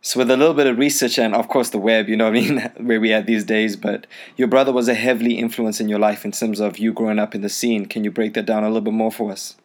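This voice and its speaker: Male host, fast talker; easygoing and slangy, keeps the chat moving. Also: fairly low pitch, flat delivery. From Zimbabwe. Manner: upbeat, slangy, quick reactions like "that's dope". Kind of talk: casual interview.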